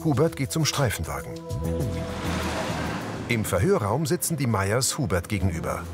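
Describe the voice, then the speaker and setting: Low male narrator describing tv scenes, lively intonation, music behind him. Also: normal speed. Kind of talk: scripted scene narration for a TV crime comedy.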